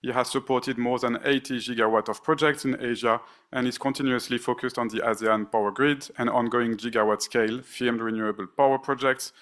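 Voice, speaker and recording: A man's voice: mid-range voice, fairly bright tone. A man moderating a conference panel, reading out speaker introductions with frequent fillers and restarts. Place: conference stage.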